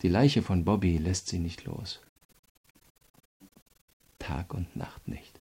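An actor playing a calm, insistent state prosecutor who questions a prisoner in a measured way, in a radio crime drama. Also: male voice, low-pitched, breathy edge, faint music behind, heavily acted. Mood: grave, accusing.

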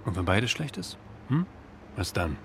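A man's voice: sits low, sing-song, measured pace, slight background noise, heavily acted. A man in a radio drama playing an ordinary, doubting, reluctant sidekick with a questioning, down-to-earth manner. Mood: doubtful. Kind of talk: naturalistic German radio drama acting.

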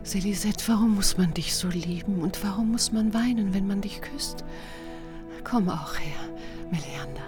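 A woman's voice: medium pitch, moderate pace, natural voice. An actress playing a solemn, passionate woman in love, speaking lyrically and earnestly in a poetic radio drama. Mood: tender, solemn. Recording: music behind the voice.

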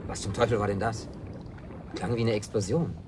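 A man's voice: lowish voice, fairly even intonation, normal speed, darkish tone, slight background noise, lightly acted. An actor playing a reluctant, grumbling man of thirty-nine in a German radio play, speaking in a dry, understated way. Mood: reluctant, startled.

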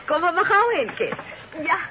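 An effusive, chatty aunt ordering her household about in a radio play. Her voice is extremely high and very animated. The old recording is heavy with noise. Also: normal speed. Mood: bustling. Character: bustling, chatty well-to-do aunt in period household. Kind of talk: radio drama acting.

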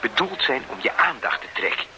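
A man's voice: medium pitch, big pitch swings, a little fast, bright, forceful tone, slight background noise. Lecturing old-school policeman. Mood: explaining. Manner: explanatory, lecturing with tag questions. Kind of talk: radio drama acting.

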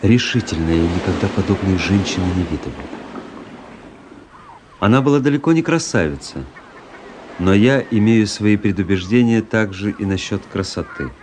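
A low male voice of an actor as a young officer in a radio play. He is fascinated and ironic, telling his tale in a measured, reflective way. Clear noise sits on the recording. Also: a little mellow, lightly acted. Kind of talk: literary narration in a radio drama.

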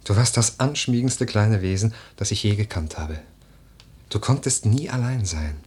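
An actor playing an earnest, melancholy nobleman in a literary radio drama, speaking in a soft, husky voice with grave, measured phrasing. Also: on the low side. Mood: pitying, gentle. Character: earnest, melancholy nobleman, the widow's admonishing cousin.

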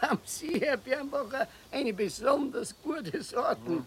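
A homely neighbor. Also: male voice, very high-pitched, lively intonation, fairly bright tone, full character voice. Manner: slow, homely, chatty. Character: friendly older male garden neighbor. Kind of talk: 1970s radio play acting.

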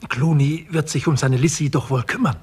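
An actor playing a brash, impatient police subordinate in a 1960s radio crime play, blunt and informal. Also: male voice, fairly high pitch, brisk, a little mellow, slightly rough. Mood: blunt, teasing. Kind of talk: 1960s German radio crime drama acting. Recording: noisy 1960s radio drama recording.

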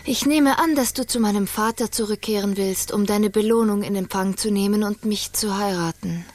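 An actress playing a poised, frank young princess with a formal, deliberate manner in a radio play. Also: female voice, mid-range voice, a little mellow, no room sound, lightly acted. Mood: composed.

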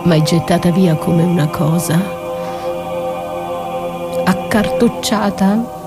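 A woman performing a dramatic radio theatre monologue as a battered wife, wounded, accusing and defiant, with intense delivery. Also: fairly low pitch. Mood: bitter, wounded. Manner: slow, intense delivery swinging from whispers to cries. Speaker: stage actress performing a solo monologue. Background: music.

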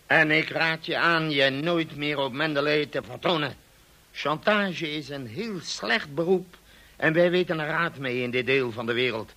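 An actor playing a formal, authoritative police colonel in a radio play, questioning in a measured, stern way. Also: male voice, on the high side, sharp tone. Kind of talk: Dutch radio drama acting.

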